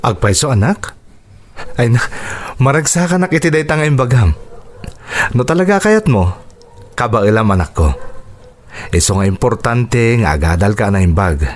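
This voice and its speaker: A man with an Ilocano accent playing a kindly, upbeat doctor and devoted father in a radio drama, with a warm, encouraging manner. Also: medium pitch, very animated, moderate pace, pauses often, lightly acted. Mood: fatherly, affectionate.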